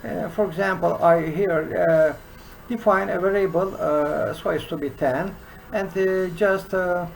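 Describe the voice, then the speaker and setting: A man in his sixties with a Turkish accent, teaching a programming lesson from his desk in a slow, halting way full of "uh" fillers. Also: sits high, steady tone, gravelly, low-quality recording. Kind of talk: screen-recorded coding lesson. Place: small room indoors.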